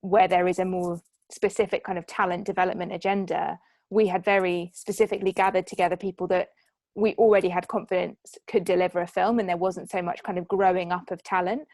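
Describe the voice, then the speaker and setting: A woman's voice: on the low side, little pitch movement, a little fast, a little mellow, no echo. A woman moderating a question-and-answer discussion as host and producer.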